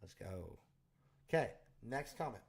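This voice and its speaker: A man's voice: mid-range voice, lively intonation, vocal fry. Chatty man hosting a livestream. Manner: chatty, joking, fast asides. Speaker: livestream host. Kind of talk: casual commentary on meeting footage.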